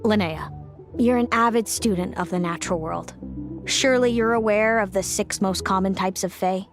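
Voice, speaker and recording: A woman voicing an aloof, weary augury-bird fae woman in a video game, in a measured, dry, guarded manner. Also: medium pitch, music in the background, close to an everyday voice. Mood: solemn, dry.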